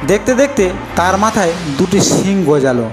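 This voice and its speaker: A man tells a fairy tale as scripted narration. He speaks as a storyteller, and music plays clearly behind his voice. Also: high-pitched, expressive, moderate pace.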